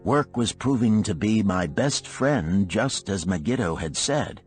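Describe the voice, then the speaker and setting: A man's voice: lowish voice, lively intonation, unhurried, darkish tone, slightly rough, soft background music. A man reading a story aloud as scripted audiobook narration.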